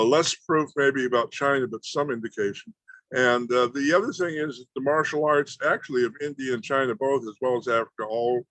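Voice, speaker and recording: A man's voice: medium pitch, a bit bright, dry recording, poor audio. Rambling male host, dry close sound. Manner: rambling, self-correcting, repeats words. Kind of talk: casual talk introducing a guest. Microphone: video call.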